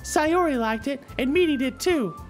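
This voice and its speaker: A woman's voice: sits high, lively intonation, normal speed. A woman voicing a snappy, indignant teenage schoolgirl in a visual novel, curt, sarcastic and defensive. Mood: scornful. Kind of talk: visual novel character dialogue read aloud. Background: soft background music.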